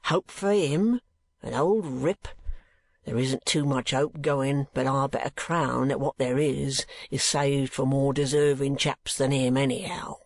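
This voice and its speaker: A woman reading an audiobook, voicing a sulky working-class male keeper in a gruff, blunt drawl. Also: rumbling low, steady tone, measured pace, dry recording, heavily acted.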